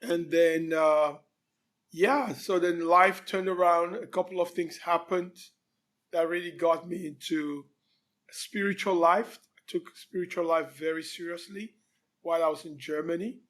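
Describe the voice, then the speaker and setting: Cameroonian man, fairly high voice. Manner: relaxed storytelling, frequent pauses with um. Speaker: guest. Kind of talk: conversational interview.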